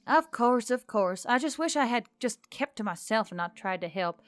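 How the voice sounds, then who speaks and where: A woman's voice: on the high side, animated, normal speed, no room sound, soft background music, close to an everyday voice. A woman voicing a reluctant, frustrated young heroine with a sardonic, informal manner, in an audiobook story. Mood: rueful.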